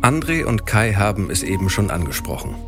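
A man's voice: lowish voice, very animated, fairly quick. A man narrating a feature as its presenter, reading from a script. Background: music bed.

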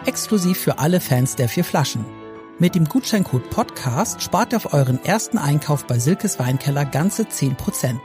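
A man reading a scripted advertisement in a smooth, promotional delivery. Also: a little high, lively intonation, normal speed, music in the background. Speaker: ad announcer. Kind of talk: scripted ad read.

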